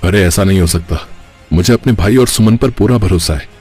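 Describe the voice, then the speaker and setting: A man's voice: low-pitched, brisk, darkish tone, soft background music. A man playing a trusting, loyal husband and elder brother in a cartoon story, earnest in manner. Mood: firm. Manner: earnest, principled. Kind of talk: cartoon story character acting.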